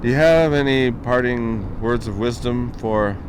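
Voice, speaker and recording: A man hosting a casual interview, chatty and quick to laugh, from the American Southwest. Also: medium pitch. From Southwest US, northern New Mexico and southern Colorado. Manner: friendly, chatty, laughs easily. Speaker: interview host. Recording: noisy background with traffic.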